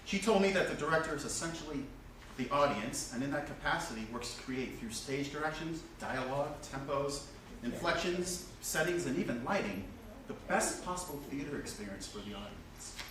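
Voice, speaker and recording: An actor plays a polite, curious man in a staged play reading, easygoing and agreeable. His pitch moves in lively swings. He is recorded far from the microphone, with strong echo and noticeable noise. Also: normal speed, close to an everyday voice. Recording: distant, echoey, noisy stage recording.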